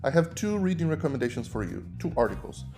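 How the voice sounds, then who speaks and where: A man with a Brazilian accent, a native Portuguese speaker, hosting a podcast and explaining legal ideas in measured, teacherly narration. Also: mid-range voice, sing-song, warm tone, soft background music. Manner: measured, didactic. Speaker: podcast host and human rights lawyer.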